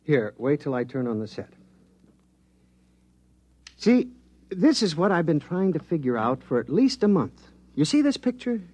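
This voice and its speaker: A man's voice: fairly high pitch, big pitch swings, measured pace, long pauses, a little mellow. An actor playing an earnest male radio engineer in a 1950s radio drama, explaining his decoding of star signals at length. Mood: excited.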